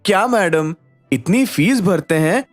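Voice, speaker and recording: A man voicing a cheeky, casual young friend in an animated story. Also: sits high, unhurried, soft background music. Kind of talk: animated story character dialogue.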